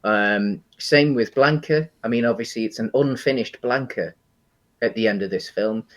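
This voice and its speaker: A man co-hosting a podcast, discussing film casually in a halting, explanatory way. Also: medium pitch. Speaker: podcast co-host.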